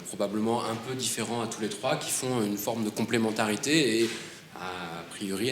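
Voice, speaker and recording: A man's voice: lowish voice, a bit bright. A man presenting his co-authored book at an award ceremony panel, speaking hesitantly with many fillers and restarts. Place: conference hall. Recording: roomy hall with faint noise.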